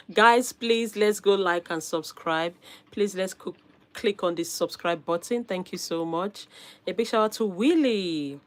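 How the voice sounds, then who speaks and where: A woman hosting a casual live stream, slightly hoarse from a cold, upbeat and calling out viewers' names with frequent laughs. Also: mid-range voice, slow pace.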